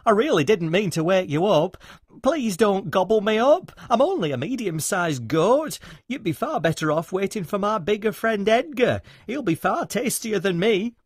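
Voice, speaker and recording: Nervous middle-sized billy goat, apologetic and pleading, pitched up by a male reader, animated. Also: moderate pace, slightly rough, dry recording, studio quality.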